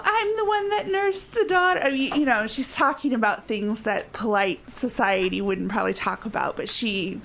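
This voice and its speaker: A woman stage actor chatting as a guest in a lively, giggly way. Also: a little high, slight background noise. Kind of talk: casual interview chat.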